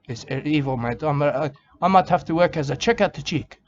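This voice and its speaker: A man's voice: a little high, a little fast, slight background noise. A man with an Australian accent talking in a rambling comic monologue outdoors, repeating himself in broken grammar. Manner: rambling, repetitive, broken grammar, keeps saying "mate". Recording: dull lo-fi recording with faint ambience.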